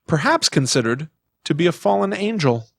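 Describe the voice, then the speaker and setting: A man's voice: on the high side, sing-song, normal speed, vocal fry, fairly bright tone, no room sound, clean studio sound. A man co-hosting a podcast, telling folklore in a casual, wry and playful chat. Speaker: podcast co-host.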